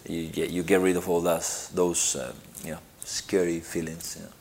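A middle-aged man from Argentina answering interview questions, halting and repeating himself, with lots of "you know". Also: sits low, little pitch movement, gravelly, a little mellow, breathy edge. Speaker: interviewed footballer.

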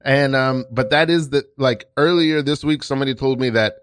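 A man's voice: medium pitch, normal speed, fairly bright tone, no room sound. Male podcast host, casual and self-deprecating, close-miked in a dry radio studio. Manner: rambling, self-deprecating, laughs often. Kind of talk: casual improv podcast chat. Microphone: studio mic.

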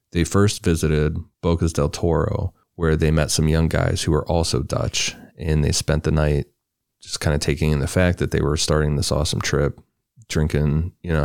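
Very deep gravelly man narrating. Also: dry recording, clean studio sound. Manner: relaxed, loose reading with asides. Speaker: podcast co-host. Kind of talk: true crime narration with casual banter.

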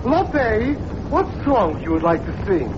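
An actor playing a self-assured foreign talent agent in a 1940s radio comedy, speaking broken English in grand, formal phrases. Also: male voice, high-pitched, very animated, slow, full character voice. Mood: smug. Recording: dull old radio broadcast with hiss.

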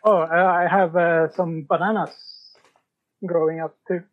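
A man chatting casually as an interview guest, halting and pausing mid-sentence. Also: on the high side, little pitch movement, phone-quality sound. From Sweden. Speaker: podcast guest, writer.

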